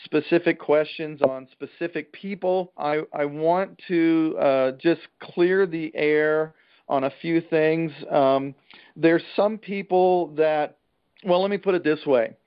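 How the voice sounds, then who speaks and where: A man giving an informal real estate trainer's talk over a conference call, plain-spoken and emphatic, with a salesman's folksy pitch. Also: slow, clear, resonant tone. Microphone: telephone conference call. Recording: dull, band-limited conference call line.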